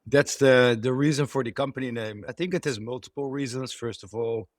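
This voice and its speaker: A man's voice: medium pitch, a little fast, no room sound, clean studio sound. A middle-aged man from the Netherlands with a Dutch accent, a company co-founder answering podcast questions and often repeating words as he restarts phrases.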